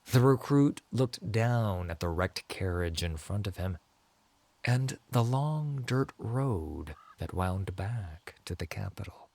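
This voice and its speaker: Low, measured male storyteller. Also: darkish tone. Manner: measured, solemn. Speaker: narrator. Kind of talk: dramatic scripted story narration.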